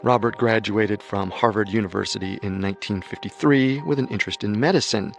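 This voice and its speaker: A male narrator with a low, gravelly voice tells a true-crime story at a measured pace. His delivery is scripted and steady, with soft music underneath.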